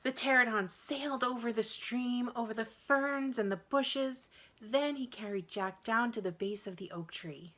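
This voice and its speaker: A woman reading a children's adventure story aloud in an expressive, dramatic narrating style. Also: a little high, measured pace. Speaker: storybook reader.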